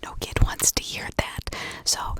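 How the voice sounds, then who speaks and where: A woman hosting an ASMR video, talking informally and personally in a hushed, confiding way. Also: a little slow.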